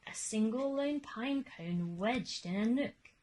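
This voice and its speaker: A woman reads a rhyming children's story at an unhurried pace. Her delivery is expressive and measured, leaning on dramatic emphasis, with faint noise behind her. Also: fairly high pitch. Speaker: teacher reading a picture book aloud.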